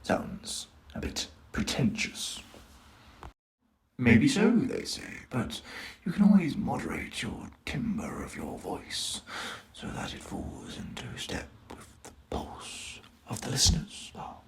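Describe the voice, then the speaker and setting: A man's voice: mid-range voice, wildly swooping pitch, slow pace, background noise, heavily acted. A man voicing an eloquent, sadistic robot leader of a hit squad in a comic dub, with theatrical, menacingly polite monologues. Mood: smug, hushed menace. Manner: theatrical, menacingly polite, soliloquizing, dropping to whispers.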